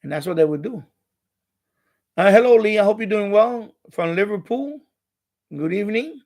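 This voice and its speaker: A man hosting a casual live stream, rambling and repeating himself in halting, unscripted sentences. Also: sits high, lively intonation, darkish tone. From New York City, USA.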